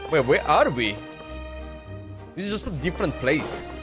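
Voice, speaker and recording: A casual Slovenian-accented man talking with his viewers during a live game stream, his voice sitting a little high for a man. Game music hums faintly under his chatter. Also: big pitch swings, moderate pace. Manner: casual, chatty, talks to chat while playing. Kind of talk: live gameplay commentary.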